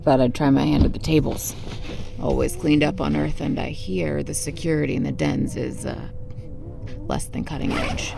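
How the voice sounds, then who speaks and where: Casting: tough, guarded young woman for sci-fi audio drama. Heavy vocal fry, terse, self-assured, set over loud music. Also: sits low, fairly even intonation, normal speed. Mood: determined, terse. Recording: loud music under dialogue.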